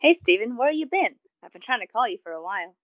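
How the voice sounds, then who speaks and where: A woman's voice: on the high side, big pitch swings, quick pace, fairly bright tone, no echo. A woman co-hosting a podcast over an online voice call, casual, teasing and irreverent. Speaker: podcast co-host. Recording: dull, lo-fi voice-chat line.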